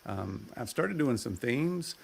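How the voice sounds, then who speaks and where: A man with a low voice, chatting easygoing as a guest, rambling with fillers. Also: sing-song, slow pace, creaky, breathy edge. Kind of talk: casual chat.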